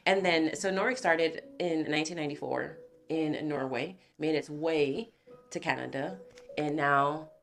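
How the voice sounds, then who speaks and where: A woman's voice: low-pitched, unhurried, vocal fry, a bit bright, faint music behind. A woman from American Samoa who is sick with a cough, chatting casually at home and answering questions with lots of fillers. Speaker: host. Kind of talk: casual chat.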